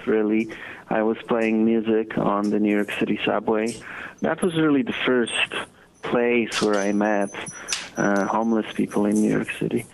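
A man in his thirties from Poland with a Polish accent, answering interview questions slowly and thoughtfully with many pauses and fillers. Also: fairly low pitch, vocal fry, slight background noise. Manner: measured, halting, many fillers. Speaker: guest, foundation co-founder. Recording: dull lo-fi audio.

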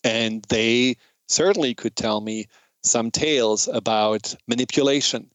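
A man's voice: mid-range voice, unhurried, fairly bright tone, clear, resonant tone, no room sound, rough recording. A man from Germany with a German accent speaks in an earnest, reflective way, explaining ideas in an informal educational monologue.

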